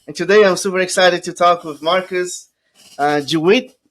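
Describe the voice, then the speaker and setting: A man with a Portuguese accent hosts an interview in a chatty, enthusiastic way. His voice sits a little high for a man. He talks loosely and fills pauses with small fillers. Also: a bit bright. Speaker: podcast host. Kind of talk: casual interview chat.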